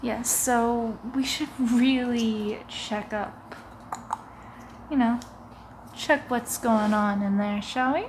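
A young woman playing a sinister, coaxing vampire who poses as a doctor, in a hushed, often whispered, muffled ASMR roleplay. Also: fairly high pitch, fairly even intonation, slight background noise. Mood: falsely concerned. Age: young adult. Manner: slow, hushed, often whispering, soothing, deliberate. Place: living room, lying on a sofa.

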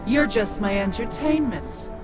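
A woman's voice: medium pitch, animated, measured pace, close to an everyday voice. A woman playing an evil, ancient witch in a video game, sinister and mocking. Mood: scornful. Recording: loud game music underneath.

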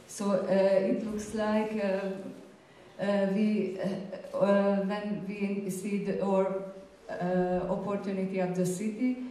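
In a very reverberant room, heard a little from the microphone, a woman with a Hungarian accent presents to a conference. She speaks slowly and hesitantly, pausing often as she searches for words. Her delivery stays fairly flat in pitch. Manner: halting, hesitant non-native English with frequent pauses. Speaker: gallery curator and presenter. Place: indoor presentation room, seated at a panel table. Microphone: handheld microphone through room sound. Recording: very echoey room, distant, faint noise.

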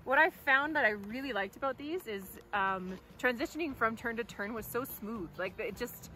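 A woman's voice: fairly high pitch, normal speed, soft background music. Chatty woman reviewing skis outdoors. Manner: chatty, relaxed, says "like" a lot. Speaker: ski tester. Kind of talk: casual gear review. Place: outdoors on a snowy mountain.

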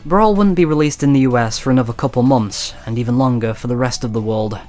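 A man reading a scripted video-essay voice-over at a middle pitch, wry and conversational, as if spinning a story for a friend. The delivery stays steady and plain, with faint music underneath. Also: fast. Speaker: documentary narrator.

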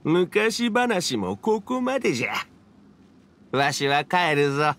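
A man's voice: on the high side, big pitch swings, slow pace, fairly bright tone, heavily acted. A man voicing a jovial, theatrical old yokai spirit in an anime game, with quaint, hearty old-man speech. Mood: gentle. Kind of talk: anime video game voice acting.

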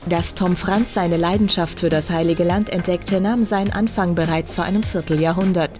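A woman reporter reading a scripted news voice-over in a measured, even way. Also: fairly low pitch, over music.

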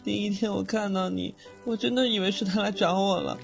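A man's voice: sits high, steady tone, fairly quick, vocal fry. A man acting in an audio drama as a sweet, naive teenage boy ghost with a childlike, pouty manner. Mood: wistful. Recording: slightly dull audio, faint music behind.

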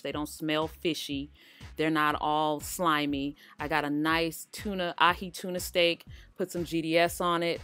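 A woman hosting her cooking channel in a relaxed, chatty voice-over, talking straight to her viewers. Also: on the low side. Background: faint background music.

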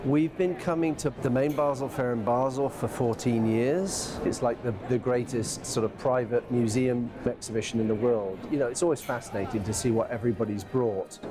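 A man's voice: mid-range voice, fairly even intonation, moderate pace, few pauses. A man in his fifties, a gallery owner, talking enthusiastically in an interview at an art fair. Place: art fair hall, indoors.

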